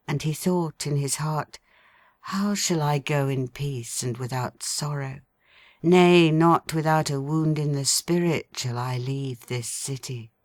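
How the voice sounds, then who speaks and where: A woman narrating a literary audiobook in a solemn, measured, poetic manner. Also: sits low, a little mellow, no echo, clean studio sound. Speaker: audiobook reader.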